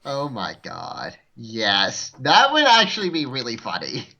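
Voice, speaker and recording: A man's voice: medium pitch, huge pitch swings, unhurried, creaky, bright, forceful tone. A man playing a tabletop roleplaying game with casual banter, heard over a lo-fi microphone. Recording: dull, lo-fi microphone.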